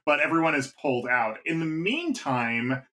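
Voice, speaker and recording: A man's voice: fairly high pitch, sing-song, moderate pace, sharp tone. A man co-hosting a podcast, retelling a plot in casual storytelling style. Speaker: podcast co-host.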